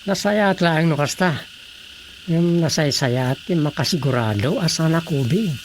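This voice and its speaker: A man's voice: a little high, lively intonation, unhurried. An actor playing a cautious village councilman who urges seeing a doctor, in a radio drama. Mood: concerned. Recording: radio drama with background noise.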